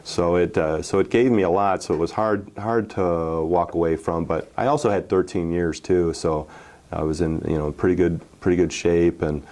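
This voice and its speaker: A man in his forties, a former football player, telling stories from his playing days in a relaxed interview, with many fillers and restarts. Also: low-pitched, moderate pace. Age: 40s. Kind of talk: casual storytelling interview.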